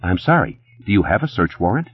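A man's voice: deep voice, big pitch swings, brisk, dull audio. A man voicing a firm, unyielding doorman with a curt, official manner. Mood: firm, warning.

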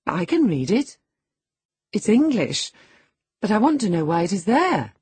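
A spirited young girl asks pointed questions in a high, bright register, as a man voices her. The voice stays breathy and husky. It is recorded dry and close. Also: big pitch swings, a little slow, no room sound, exaggerated voice. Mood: curious. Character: lively curious teenage girl.

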